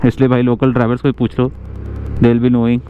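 A man's voice: medium pitch, monotone, a little fast, narrow, tinny audio, slight background noise. Chatty man vlogging on a scooter. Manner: chatty, mixes Hindi and English, jokey complaints. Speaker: motovlogger. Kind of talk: casual vlog chat while riding. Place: outdoors on a scooter on hill roads.

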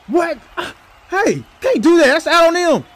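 A man's voice: very high-pitched, big pitch swings, unhurried, a bit bright, voice pushed up, heavily acted. A man voicing a whiny, indignant basketball player, exasperated and profane, in a comedy dub. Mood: whiny protest.

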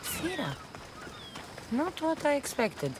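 A woman's voice: high voice, huge pitch swings, slow pace, background noise, full character voice. An actress playing a curious young warrior woman who thinks aloud while exploring ruins. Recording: game audio with loud music. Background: game music.

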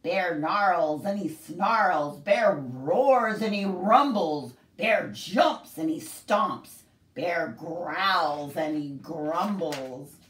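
A middle-aged woman hosts a children's story time with a very animated, sing-song delivery. Her voice sits a little low and moves at an unhurried pace. It is recorded in an echoey room, some distance from the microphone. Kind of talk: expressive picture-book read-aloud for young children. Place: small home room, indoors. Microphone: camera a few feet away. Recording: roomy echo, distant camera microphone.

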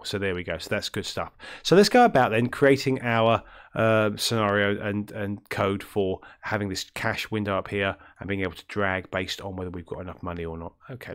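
A man with a deep, throaty voice from illness, talking through a coding tutorial in a rambling way, with many ums and self-corrections. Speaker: game development tutorial host. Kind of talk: screen-recorded coding tutorial.

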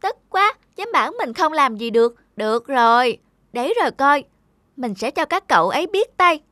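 High-pitched pushy little cartoon rabbit. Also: female voice, big pitch swings, moderate pace, a bit bright, no echo. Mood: indignant. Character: small boastful, envious, pushy cartoon rabbit. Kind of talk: children's cartoon dubbing. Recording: faint music behind the voice.

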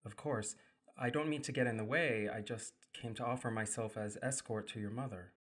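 Actor as a polite, formal young gentleman, courteous and kind. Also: mid-range voice, steady tone, brisk, close to an everyday voice.

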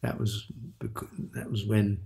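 Older male guest, gravelly and unhurried, stumbles and restarts, low voice. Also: little pitch movement. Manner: halting, repeats words, chuckles softly. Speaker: veteran voice actor guest. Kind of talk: relaxed reminiscing interview.

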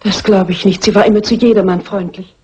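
An inhibited, anxious female companion in a radio crime play. The voice is husky and of medium pitch, prim and hesitant, quick to become upset. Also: fairly even intonation, a little mellow. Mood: timid, nervous. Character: timid, inhibited, easily upset lady's companion. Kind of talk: German radio crime drama acting.

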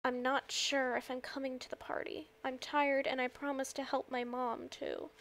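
A glum young teenage girl with a high voice, acted by a woman. She sounds reluctant and a little dry, in comic dub style. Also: flat delivery, moderate pace, a bit bright. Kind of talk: comic fandub acting.

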